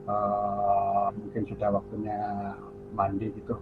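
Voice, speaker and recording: A man's voice: fairly low pitch, completely flat delivery, takes it slowly. A man chatting casually and a little hesitantly as an amateur radio operator on a two-way radio. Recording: narrow phone-like sound, faint hum.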